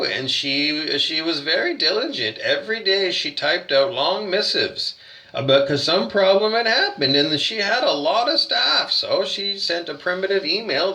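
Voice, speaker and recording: A man's voice: high voice, sharp tone, rough recording. A Canadian man rambling through a profane rant, full of restarts and fillers. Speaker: hotel guest complaining.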